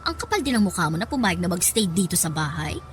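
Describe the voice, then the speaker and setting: A woman's voice: on the low side, sing-song, fast talker. Haughty, theatrically swooping mother. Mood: scornful, menacing. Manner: condescending, theatrical, mixes English into her talk. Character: haughty, two-faced middle-aged mother, scornful of son's girlfriend. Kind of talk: radio drama acting. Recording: music under the voice.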